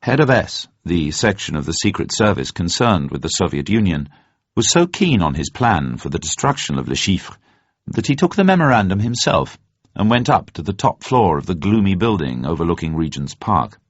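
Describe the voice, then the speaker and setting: A man reading a dramatised narration in a formal, measured and deliberate way. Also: deep voice, very animated, breathy edge, dry recording, highs cut off. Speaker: audiobook narrator.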